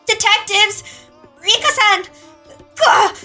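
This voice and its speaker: A woman's voice: high voice, animated, normal speed, bright, forceful tone, husky, far from the mic, soft background music, voice pushed up, heavily acted. A woman playing a frightened young lady who accuses someone and calls out for help. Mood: panicked.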